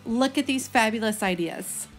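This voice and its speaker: Chatty Texan woman, higher-pitched voice. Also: normal speed. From Texas, United States. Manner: chatty, enthusiastic, folksy. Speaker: host of a gardening video channel. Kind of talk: casual vlog narration.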